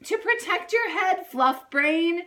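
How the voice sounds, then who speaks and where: A woman voicing a brainy, bossy female scientist doll, an excited and emphatic know-it-all in a children's storybook. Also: extremely high pitch, slow, voice pushed up, full character voice. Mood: bossy, thrilled.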